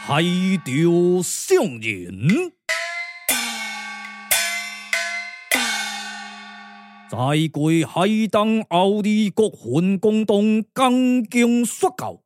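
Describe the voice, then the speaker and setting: A man's voice: high voice, big pitch swings, music in the background, exaggerated voice. A man voicing a proud, wrathful ancient Taoist immortal in a Taiwanese puppet-theatre radio drama, declaiming grandly with a Taiwanese accent. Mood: lofty, solemn. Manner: grandiose, declamatory, drawn-out chanting.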